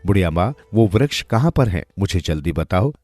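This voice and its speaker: A man's voice: deep voice, lively intonation, normal speed, a little mellow, no room sound, faint music behind. A man voicing a kind, eager young peasant son in a storybook tale. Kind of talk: storybook character dialogue.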